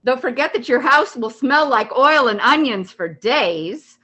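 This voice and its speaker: A woman's voice: high-pitched, lively intonation, measured pace, bright, forceful tone, full character voice. A woman in amateur reader's theater, playing a smug, showy holiday that boasts of its popularity in a declarative way. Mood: smug boasting.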